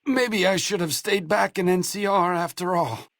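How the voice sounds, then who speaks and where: A man's voice: high voice, normal speed, a bit bright, no echo. A man playing a wry, bookish doctor companion in a post-apocalyptic video game, with dry, sardonic and articulate delivery. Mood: weary, sardonic.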